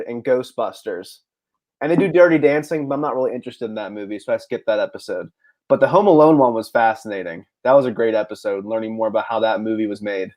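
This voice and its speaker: Co-host guy with a cold, voice kinda deep, chatty, restarts sentences. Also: darkish tone. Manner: talkative, often trails off and restarts. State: under the weather, voice deep. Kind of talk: casual live chat.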